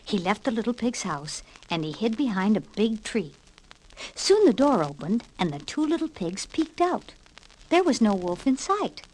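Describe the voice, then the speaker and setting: A woman reading a scripted children's read-along story with expressive, emphatic delivery, on an old vinyl record. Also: mid-range voice, moderate pace, rough recording. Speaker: storybook narrator. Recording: 1960s vinyl record with hiss and pops.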